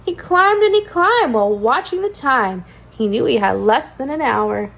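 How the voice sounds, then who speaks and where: Animated high-pitched woman reading a storybook. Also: normal speed, gravelly. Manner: singsong, rhyming cadence with expressive emphasis. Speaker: children's read-aloud channel host. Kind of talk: animated storybook read-aloud for young children.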